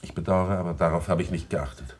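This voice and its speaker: Actor as very deep-voiced, careful witness. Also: male voice, close to an everyday voice. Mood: careful, reserved. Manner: hesitant, formal, deliberate. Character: reserved, careful male witness giving testimony. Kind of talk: German radio crime drama acting.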